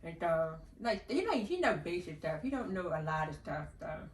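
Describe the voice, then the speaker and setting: A woman's voice: lowish voice, very animated, moderate pace, slight background noise. A hard-of-hearing woman hosting a casual family chat, speaking with the accent of her hearing loss. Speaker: podcast host. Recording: echoey room from a distance.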